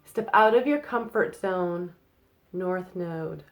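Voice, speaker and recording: A young woman with a cold and a slightly nasal voice, giving a casual card reading to her viewers with lots of fillers and quick "okay?" checks. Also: on the low side, a little hiss or hum. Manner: chatty, many fillers and tag questions. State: has a cold. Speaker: psychic card reader. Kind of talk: casual tarot-style reading to camera.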